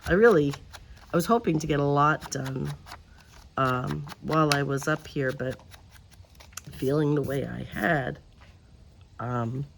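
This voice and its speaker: A woman hosting a crafting video outdoors. She talks in a quiet, subdued way, drifts into mutters and whispers as she works, and her voice is lower and deeper than usual. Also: unhurried, vocal fry, long pauses, a little hiss or hum. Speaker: host of a paper craft channel. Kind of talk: casual crafting chat while working. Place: outdoors, in a breeze.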